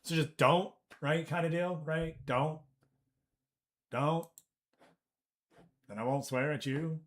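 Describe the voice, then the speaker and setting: A man streams from his office, chatting loosely with viewers and retelling a run-in with a customer. He talks in an animated, profane, storytelling way, and his voice sits a little higher than you'd expect for someone who calls it deep. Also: slow. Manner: animated storytelling, swears, reads chat aloud. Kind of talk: casual chat with live chat.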